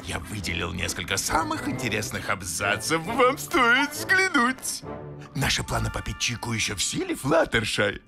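Cartoon chaos spirit: mocking, sly, mock-dramatic, gravelly edge, wildly varied pitch. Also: male voice, huge pitch swings, music in the background. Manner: theatrical, sly, mock-dramatic, drawn-out flourishes. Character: theatrical mischievous male chaos spirit, mocking and smug. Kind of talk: animated cartoon dub acting.

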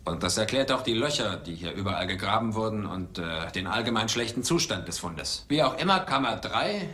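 An actor playing a driven, wry archaeology professor and adventurer in a dubbed film, dry and casual with hesitations. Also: male voice, lowish voice, big pitch swings, a little fast, pushed and bright. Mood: distracted, lecturing. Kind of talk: German film dubbing.

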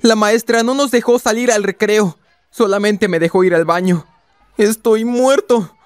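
Gullible cartoon teen, male actor, Latin American accent: high voice, slow hesitant delivery, nervous. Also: clear, resonant tone, no echo. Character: nervous, gullible teenage schoolboy. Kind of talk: animated fan dub acting.

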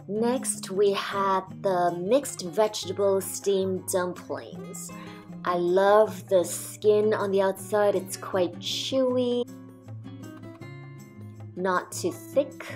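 A woman calmly narrating a restaurant food review as a voice-over, describing each dish in a measured way. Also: on the low side. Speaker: food vlogger.

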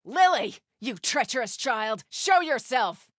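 An actress playing a fierce, zealous cult fighter in a video game, shouting menacing battle cries. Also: female voice, on the high side, very animated, slow, no room sound, clean studio sound, heavily acted. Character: fierce female cult fanatic in combat. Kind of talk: video game combat barks.

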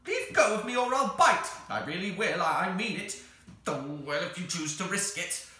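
Squeaky, crotchety furry sand fairy. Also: male voice, expressive, moderate pace, sharp tone, heavy reverb, slight background noise. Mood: grumpy, snappish. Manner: querulous, stammering, emotionally cracking pleading. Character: crotchety, put-upon, furry sand fairy, tearful and excitable.

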